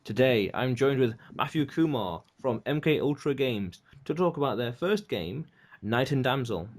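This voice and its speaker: A man's voice: medium pitch, expressive, moderate pace. A man hosting a game developer podcast, reading his show intro and asking short questions with upbeat reactions. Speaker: podcast host. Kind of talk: scripted intro and casual interviewing.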